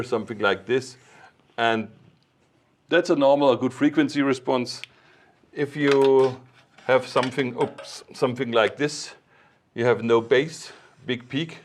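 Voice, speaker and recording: A man with a mid-pitched voice speaks as a technical expert explaining things informally. His phrasing is halting, built up step by step in short pieces. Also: slight background noise.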